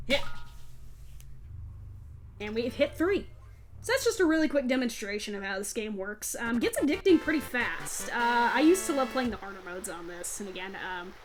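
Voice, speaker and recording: A woman hosting a let's play, giving casual gameplay commentary in a chatty, self-deprecating way. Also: medium pitch. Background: video game music.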